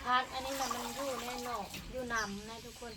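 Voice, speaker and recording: A woman's voice: fairly high pitch, steady tone, brisk. A woman with an Isan Thai accent hosting a casual vlog, chatting in a folksy way and often addressing her viewers directly. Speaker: vlog host. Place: outdoors in a rural garden. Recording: clearly noisy outdoor field recording.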